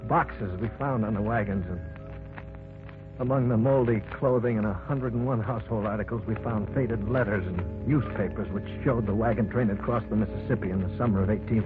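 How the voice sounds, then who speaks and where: A man's voice: fairly low pitch, natural voice. An actor playing Martin, an eager male companion on the expedition, who also narrates the story in plain storytelling tones, in an old radio drama. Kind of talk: 1940s radio acting. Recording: tinny old radio broadcast with music.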